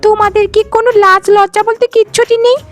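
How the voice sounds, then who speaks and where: Very high-pitched, spiteful young wife played by a woman. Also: steady tone, fast, faint music behind. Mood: scornful, furious. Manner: scolding, haughty, contemptuous. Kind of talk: cartoon voice acting in a family drama.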